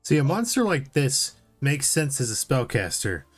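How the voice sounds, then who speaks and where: A man's voice: medium pitch, very animated, moderate pace, breathy edge. Casual, sceptical man over music. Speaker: podcast co-host reacting to a video. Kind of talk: casual chat.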